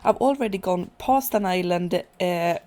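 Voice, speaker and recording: A woman whose first language is Swedish, casually talking through a survival video game with many pauses and fillers. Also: fairly low pitch. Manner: relaxed, hesitant, many fillers and restarts. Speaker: gaming channel host. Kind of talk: casual let's play commentary.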